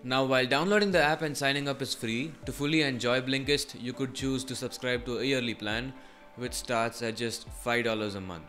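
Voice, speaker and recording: A man's voice: mid-range voice, brisk, a bit bright. A man presenting a tech video as a scripted voice-over, reviewing in a narrator's style. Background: background music.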